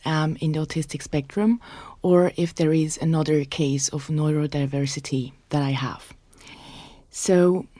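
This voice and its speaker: Soft-spoken Swiss German-accented woman. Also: low-pitched, dry recording. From Switzerland. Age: 30s. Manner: soft-spoken, thoughtful, sometimes drops to a whisper. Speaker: podcast host. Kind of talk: personal reflective monologue.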